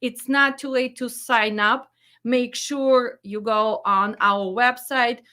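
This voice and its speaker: A Ukrainian-accented woman lays down the rules for her students in a forceful, bright voice, pitched a little above the usual. She is blunt and emphatic, repeating her points, and her English grammar slips now and then. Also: fairly even intonation, slow, smooth. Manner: slightly broken English grammar. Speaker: freight dispatch trainer. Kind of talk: direct-to-camera instructional talk.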